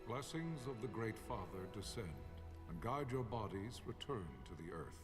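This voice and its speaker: An actor playing a solemn priest giving a reverent, ceremonial funeral blessing in a fantasy video game. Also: male voice, on the low side, lively intonation, moderate pace, natural voice. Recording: distant game audio under loud music.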